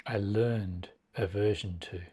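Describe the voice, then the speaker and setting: A man leading a guided meditation as a teacher, speaking in a measured, deliberate way with long pauses between short phrases. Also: lowish voice, steady tone, mellow, breathy edge.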